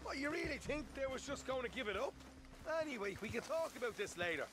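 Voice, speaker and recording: A cocky, grumbling young outlaw in a game cutscene. His voice is high and cheeky, with clear noise behind it. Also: big pitch swings, fast. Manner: cheeky, argumentative. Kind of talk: dramatic video game acting.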